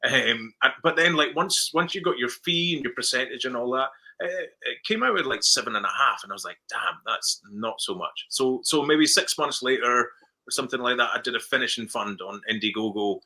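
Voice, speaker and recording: A Scottish man with a very bright, forceful tone tells stories in a relaxed interview. He often stumbles over and repeats words. He slips into the voices of the people he is quoting. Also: medium pitch, fairly quick. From Scotland. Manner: chatty storyteller who repeats words and acts out dialogue. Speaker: film director guest. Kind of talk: casual interview chat.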